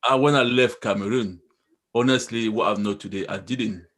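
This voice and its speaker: A man's voice: fairly low pitch, normal speed, a bit bright. A man in a casual online chat, asking questions and reflecting on what he has learned.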